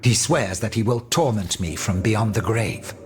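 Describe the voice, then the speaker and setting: A man's voice: lowish voice, normal speed, darkish tone, lightly acted. An actor playing a flamboyant, indignant French nobleman in a video game, with a florid, declamatory manner. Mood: bitter indignation. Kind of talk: video game cutscene dramatic acting.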